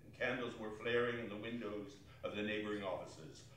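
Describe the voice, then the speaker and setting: Male stage reader, bright and declamatory, relishing his words; distant recording with faint noise. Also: fairly low pitch, steady tone, brisk. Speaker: stage reader in a theatre company. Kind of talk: theatrical dramatic reading of a classic story. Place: arts center performance space, distant camera. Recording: echoey hall from far off, faint noise.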